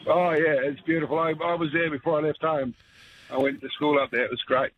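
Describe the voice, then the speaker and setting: A man from New calling a radio show, relaxed and friendly. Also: fairly high pitch, fairly quick, a little hiss or hum. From New Zealand. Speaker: caller. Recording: dull phone-line caller audio.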